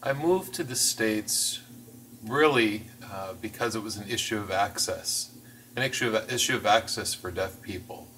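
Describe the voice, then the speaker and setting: A man voicing a signed interview as interpreter, phrasing things in a measured, careful way with the occasional restart. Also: lowish voice, sharp tone, recorded from a distance, slight background noise, low-quality recording. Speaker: sign language voice interpreter.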